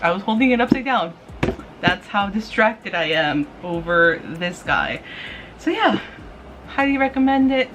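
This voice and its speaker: A woman's voice: mid-range voice, lively intonation, a little slow, noisy background. A woman chatting casually to camera as a review vlog host, gushing, playful and informal. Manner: gushing, giggly, playful, informal with mild swearing.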